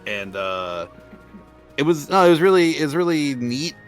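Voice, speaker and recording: A man's voice: fairly high pitch, big pitch swings, normal speed. A man from rural Oklahoma, a guest on a stream, telling casual anecdotes with lots of stammers and restarted sentences. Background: faint background music.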